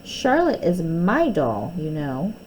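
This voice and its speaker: A woman's voice: fairly low pitch, wildly swooping pitch, takes it slowly, a little mellow, a little hiss or hum, exaggerated voice, poor audio. A woman voicing a bossy, quarrelsome young girl from a children's storybook, with emphatic delivery.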